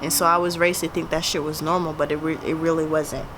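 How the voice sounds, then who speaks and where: A woman's voice: deep voice, little pitch movement, fast talker. Blunt young Jamaican woman, noisy background. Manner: casual, blunt and profane. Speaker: vlogger. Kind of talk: candid personal storytelling to camera.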